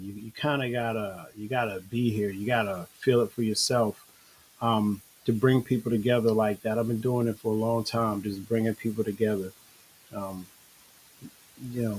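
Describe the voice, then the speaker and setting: A middle-aged man hosting a casual online talk show, relaxed and rambling with many restarts. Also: mid-range voice, a little hiss or hum, poor audio. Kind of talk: casual live-stream talk.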